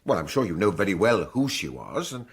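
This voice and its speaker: A fussy magistrate in a radio mystery, lowish husky voice, super polite, goes up and down. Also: male voice, very animated, brisk, full character voice. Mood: probing. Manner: formal, fussy, hesitating politeness. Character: courteous, officious male examining magistrate, formal and insistent. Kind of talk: radio drama acting.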